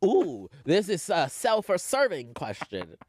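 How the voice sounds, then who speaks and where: Sassy guest with a high laugh. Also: takes it slowly, dry recording, studio quality. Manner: playful, sassy, lively. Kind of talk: casual podcast chat.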